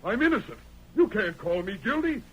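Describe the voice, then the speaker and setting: An actor playing an indignant, plain-spoken frontier stranger with folksy western speech in an old radio drama. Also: male voice, sits high, big pitch swings, normal speed, a bit bright, breathy edge, lo-fi sound, heavily acted. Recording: noisy vintage radio drama recording.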